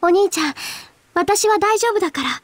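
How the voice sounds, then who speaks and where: An actress voicing a gentle, earnest and quietly resolute teenage girl in a Japanese video game, hesitant in manner. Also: very high voice, normal speed. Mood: hesitant, pained.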